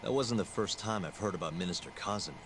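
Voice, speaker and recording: A man's voice: low-pitched, fast talker, background noise, lightly acted. An actor playing a cool-headed male detective in a game, blunt and questioning. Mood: pondering.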